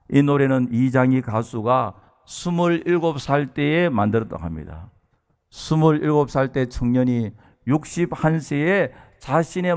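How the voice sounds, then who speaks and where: A man's voice: medium pitch, a little mellow. A man in his early sixties from South Korea delivering a sermon as a pastor, earnest and emphatic, addressing his listeners directly. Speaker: pastor preaching to a congregation.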